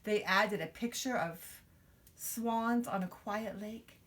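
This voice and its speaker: A woman reading a children's picture book aloud, expressively, with dramatic pauses and emphasis. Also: medium pitch, a bit bright. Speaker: storybook reader.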